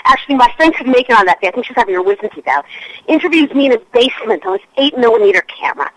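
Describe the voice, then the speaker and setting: A woman's voice: on the high side, racing, dry recording, poor audio. A woman in her fifties from Canada, with a Canadian accent, chatting as a guest and telling stories about herself. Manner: chatty, self-mocking, animated. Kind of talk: casual radio interview storytelling.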